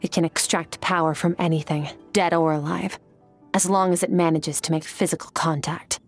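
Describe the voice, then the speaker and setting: An actress playing an aloof, battle-hardened warrior in a video game, speaking in a measured, blunt way. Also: female voice, sits low, steady tone, faint music behind. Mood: grave. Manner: measured, blunt, matter-of-fact. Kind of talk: dramatic video game dialogue.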